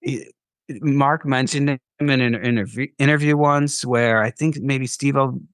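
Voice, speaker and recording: A man's voice: medium pitch, steady tone, a little slow, a bit bright, smooth, no echo, clean studio sound. A man co-hosting a podcast in casual chat about a record, musing aloud and trailing off with fillers. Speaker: podcast co-host.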